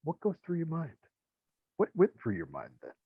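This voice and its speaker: A man hosting an interview, asking questions in a measured, reflective way. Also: a little high, huge pitch swings, breathy edge, dry recording, phone-quality sound. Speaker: podcast host.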